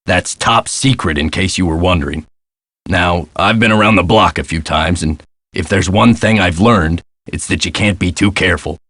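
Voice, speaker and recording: A very low, dry-recorded voice a middle-aged American castle caretaker in a mystery game. He is gruff and folksy, with a weary, guarded way of talking in clipped phrases. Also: moderate pace, fairly bright tone, dry recording. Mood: secretive. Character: gruff world-weary male castle caretaker, guarded about his past. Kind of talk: video game voice acting.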